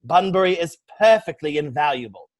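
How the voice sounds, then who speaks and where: A man acts out a young English gentleman idler from a stage comedy. His highish voice swoops about as he delivers flippant wit with airy, pompous conviction. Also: animated, fairly quick, close to an everyday voice. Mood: smug, teasing. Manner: pompous, airily mock-serious declaiming. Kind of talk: theatrical dramatic reading of a stage comedy.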